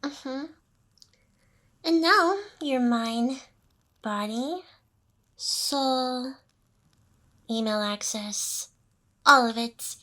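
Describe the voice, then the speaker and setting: A woman voicing a smug, possessive ancient demoness boss in an intimate, seductive ASMR roleplay, with teasing pauses. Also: high voice, bright, forceful tone, heavily acted.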